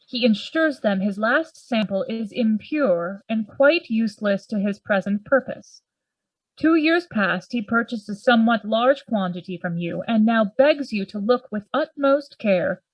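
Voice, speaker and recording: A woman playing a sober, rational Victorian gentleman in a Gothic radio play, speaking formally. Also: fairly high pitch, no echo, poor audio. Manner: formal, measured, reasoning.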